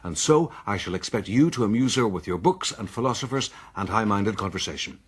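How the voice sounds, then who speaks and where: A man playing a cold, controlling gentleman who rules wife and servants with formal commands in a period radio drama. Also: lowish voice, animated, fairly quick, husky. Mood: insistent, guarded. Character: cold, controlling gentleman husband, master of an estate.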